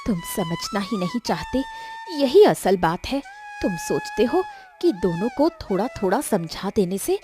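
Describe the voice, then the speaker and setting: A woman voicing an aggrieved, hot-tempered young village wife in an animated story, complaining with melodramatic emphasis. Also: a little high.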